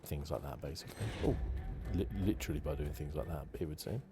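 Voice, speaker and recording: A soft-spoken man giving ASMR gaming commentary, drifting into whispers. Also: extremely low pitch, fast, very noisy. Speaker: gaming channel host. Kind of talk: ASMR video game playthrough commentary.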